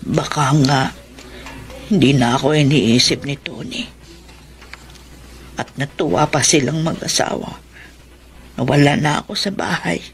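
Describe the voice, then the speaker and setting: A woman's voice: sits low, a little slow, pauses often. An actress playing a hurt, stubborn elderly woman in a Filipino radio drama, speaking plaintively and self-pityingly. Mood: bitter, sad. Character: hurt, stubborn elderly aunt hiding at a senior center.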